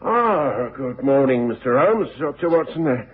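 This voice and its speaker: An actor playing an apologetic, hesitant London boarding-house keeper in a radio drama. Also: male voice, medium pitch, animated, a little slow, close to an everyday voice. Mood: nervous, apologetic. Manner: hesitant, polite. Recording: noisy, phone-like old radio recording.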